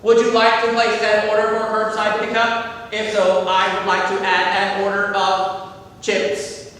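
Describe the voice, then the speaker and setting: A man's voice: sits high, completely flat delivery, measured pace, fairly bright tone. A man acting as a polite, literal-minded AI assistant in a stage comedy, speaking in a formal, announcer-like way. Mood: literal, apologetic. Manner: formal, announcer-like, over-precise. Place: stage in a theatre hall. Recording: distant echoey theatre hall, faint noise.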